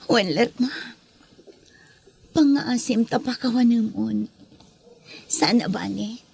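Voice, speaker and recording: A woman's voice: on the high side, slow, vocal fry, a little mellow. A woman playing a sorrowful, pleading mother in an Ilocano radio drama, with an Ilocano Filipino accent and earnest delivery.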